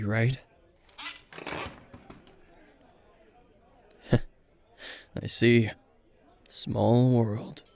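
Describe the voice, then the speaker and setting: A man playing a weary, gruff veteran hero and teacher at a bar, terse and dry, in an intimate roleplay spoken to the listener. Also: lowish voice, darkish tone, no echo. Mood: dry, reserved. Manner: terse, guarded, dry, sighing.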